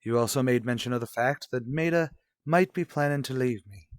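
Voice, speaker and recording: A man's voice: mid-range voice, no room sound, close to an everyday voice. Man playing a guarded, formal radio-soap husband, close dry sound. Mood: skeptical, guarded. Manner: formal, measured, skeptical. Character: stern, guarded husband and father in troubled marriage. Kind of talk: revived vintage radio soap drama acting.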